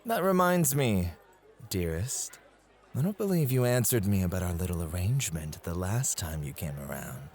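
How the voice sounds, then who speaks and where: A man's voice: sits low, very animated, normal speed, a little mellow. A man acting in an ASMR roleplay as a possessive, doting club host with an affectionate, teasing way of talking. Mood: possessive, serious.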